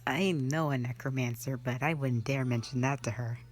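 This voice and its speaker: A woman's voice: a bass voice, moderate pace, soft background music. A woman playing a rambling, easygoing, flirty magic mentor in a fantasy roleplay audio drama, talking in a hesitant, offhand way full of asides. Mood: chatty, musing.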